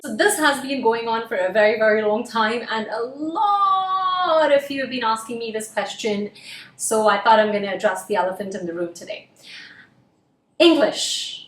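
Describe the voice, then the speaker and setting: A woman's voice: fairly high pitch, normal speed, a bit bright, recorded from a distance, soft background music. Earnest Indian-born woman, echoey room. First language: Hindi. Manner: earnest, many "uh" fillers. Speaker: cabin crew interview coach. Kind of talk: casual advice vlog. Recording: roomy echo, voice a bit off-mic.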